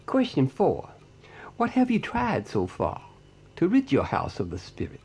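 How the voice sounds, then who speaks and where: An actor plays a short hillbilly ghost hunter's helper in an audio drama. He is polite and deferential, with a husky voice. His folksy talk rises and dips in a lively way. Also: mid-range voice, unhurried, full character voice. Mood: polite, businesslike questioning. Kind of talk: audio drama character dialogue.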